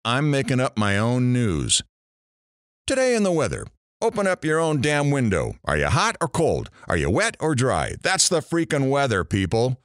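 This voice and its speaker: A man's voice: mid-range voice, huge pitch swings, moderate pace, no echo, studio quality. A man playing a brash, wisecracking morning radio host in an audio drama, with sarcastic, theatrical delivery. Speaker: radio talk show host.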